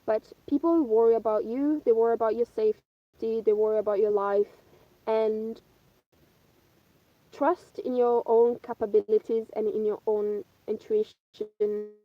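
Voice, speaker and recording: Chatty woman, bright voice. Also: on the high side, fairly even intonation, unhurried, dry recording. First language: Italian. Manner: chatty, rambling, many ums and uhs. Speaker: vlogger answering viewer questions. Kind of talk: casual live-stream chat. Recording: dull, dry lo-fi live stream.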